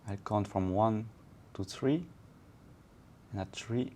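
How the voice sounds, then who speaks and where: A man co-hosting a podcast who leads a calm, soothing guided meditation and then chats with measured phrasing. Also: fairly low pitch, slight background noise. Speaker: podcast co-host. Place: Barcelona.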